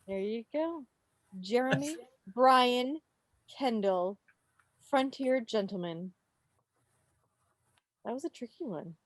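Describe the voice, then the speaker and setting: A woman with a high voice hosts a quiz over a video call. She takes her time, lingering on names and answers. Her delivery is playful and expressive, mixing read questions with chatty asides. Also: slow, pauses often, no echo. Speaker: quiz host at a club meeting. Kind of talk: animated quiz reading with casual asides. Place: online video meeting. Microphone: video call microphone.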